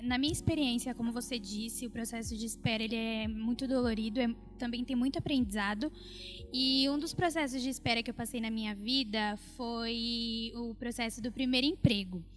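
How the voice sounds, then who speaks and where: Earnest young woman, higher voice. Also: a bit bright. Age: 20s. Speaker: guest. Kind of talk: personal testimony.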